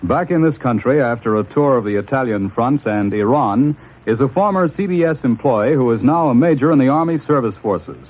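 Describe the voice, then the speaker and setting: A man's voice: mid-range voice, lively intonation, fairly quick. A tinny, band-limited old radio recording opens onto an American man's mid-range voice. He announces in the style of a 1940s network news anchor.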